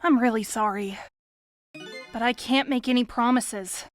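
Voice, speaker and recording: A young woman playing an excitable, earnest young detective in a video game fan dub, with expressive, peppy delivery. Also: on the high side, unhurried, faint music behind, natural voice. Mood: apologetic, pleading.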